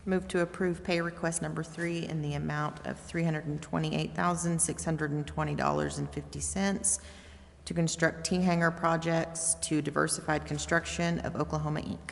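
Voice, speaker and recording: A woman on a city council reading a motion in a flat, steady voice. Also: deep voice, moderate pace, vocal fry, slight background noise. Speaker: council member making a motion. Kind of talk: formal meeting procedure. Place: council chambers. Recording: distant speaker in an echoey chamber.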